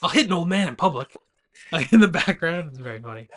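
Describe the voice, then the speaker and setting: Male co-host, voice a bit high, informal movie talk, emphatic and repetitive phrasing. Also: animated, quick pace, a bit bright. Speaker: podcast co-host. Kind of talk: casual movie chat.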